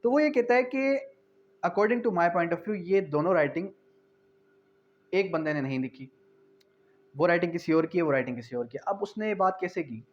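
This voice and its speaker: A man's voice: high voice, expressive, moderate pace, long pauses. A man with a Pakistani accent lecturing on law in a didactic way, posing questions and answering them, mixing English terms into his explanations. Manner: didactic, poses questions then answers them, repeats key phrases; mixes English legal terms into speech. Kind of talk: explanatory lecture with everyday examples. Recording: dull, muffled lo-fi sound.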